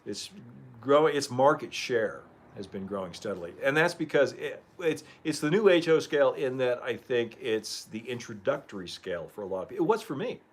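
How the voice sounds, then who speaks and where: A man hosts a relaxed livestream chat in a mid-range voice. He rambles, chuckles and keeps clearing a slightly hoarse throat. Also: mid-range voice, a little fast. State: losing his voice. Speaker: livestream host.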